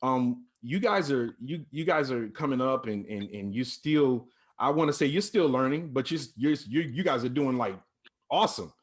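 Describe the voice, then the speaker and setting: A man's voice: medium pitch, lively intonation, moderate pace. A man hosting a casual interview, rambling and repeating himself as he encourages his young guests. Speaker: host. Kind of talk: casual church-music interview.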